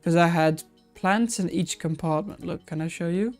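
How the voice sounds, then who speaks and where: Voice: mid-range voice, normal speed, faint music behind. A live-stream host chatting casually while reacting to old aquarium videos, thinking aloud with many restarts and answering viewer comments.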